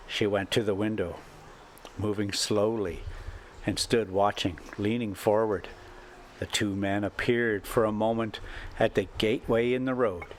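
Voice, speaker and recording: An older man reading a classic short story aloud as an amateur storyteller, in halting, broken phrases. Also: on the low side, a little hiss or hum. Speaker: storyteller and channel host.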